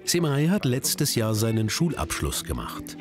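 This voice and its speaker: A man's voice: mid-range voice, wildly swooping pitch, unhurried. A man narrating a documentary in a scripted voice-over. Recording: narration over background music. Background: background music.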